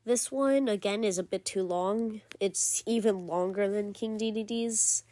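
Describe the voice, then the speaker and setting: A woman's voice: medium pitch, a little slow, mellow, no echo. Rambling woman ranking game cutscenes. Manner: rambling, many fillers and self-corrections. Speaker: gaming video creator. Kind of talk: casual game commentary ranking.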